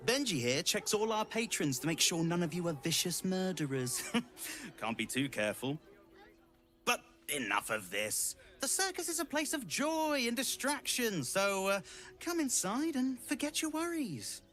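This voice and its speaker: An actor playing a theatrical circus gatekeeper in a video game, grandiose and slyly courteous. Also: male voice, sits high, big pitch swings, unhurried, bright, forceful tone, exaggerated voice. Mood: showy, welcoming. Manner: grandiose, oily politeness.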